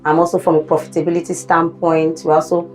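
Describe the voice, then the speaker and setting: Woman business manager, interview guest, lowish, measured, faint music bed. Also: level tone, a little mellow. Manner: measured, with frequent "um". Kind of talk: interview talk.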